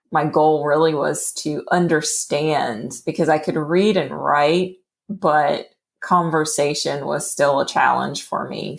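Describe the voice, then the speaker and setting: A woman in her forties from Texas, a podcast guest telling her life story in an unhurried, thoughtful way with small laughs. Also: low-pitched, creaky. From Texas, USA. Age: 40s. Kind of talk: relaxed storytelling.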